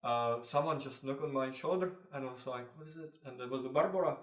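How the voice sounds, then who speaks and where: Halting young Czech-accented man. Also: mid-range voice. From Czech Republic. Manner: halting, many "uh" pauses, non-native phrasing. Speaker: fashion designer, brand co-founder. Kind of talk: interview answers. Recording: roomy and distant from the mic.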